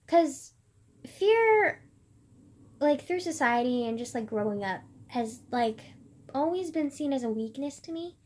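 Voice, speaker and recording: A young woman vlogger with a soft voice, talking in a rambling, hesitant way full of filler words. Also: on the high side. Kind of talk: personal reflective vlog monologue.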